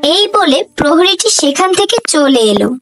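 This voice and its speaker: High-pitched woman narrating a children's story. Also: very animated, a little slow, background noise.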